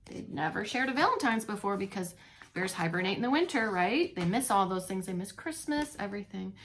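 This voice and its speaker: A woman reading a storybook aloud to young children as a teacher, expressively, pausing to comment and ask questions. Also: medium pitch, expressive, a bit bright, slight background noise. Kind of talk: animated read-along storytelling for young children.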